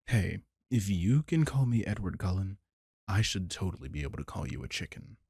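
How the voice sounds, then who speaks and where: Man acting a deep, gravelly vampire king, gently teasing. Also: sing-song, a little fast, no room sound, clean studio sound, heavily acted. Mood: playful teasing. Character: male vampire king, caring, teasing, wry, protective of the injured. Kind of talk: intimate comfort roleplay audio drama.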